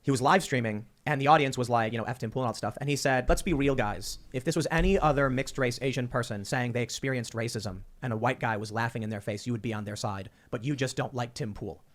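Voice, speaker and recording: Fast-talking man hosting a chat show. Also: mid-range voice. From Chicago. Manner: rapid, animated, repeats words when starting sentences. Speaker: podcast host. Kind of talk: casual talk-show chat.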